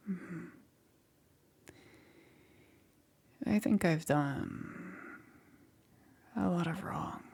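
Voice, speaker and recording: A lonely witch, acted by a woman, murmurs in a low, breathy voice with a gravelly fry at the edges. She talks slowly and haltingly, often slipping into a whisper. Her manner is soft and gently possessive, in the intimate style of an ASMR roleplay. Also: exaggerated voice. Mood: reflective, awed.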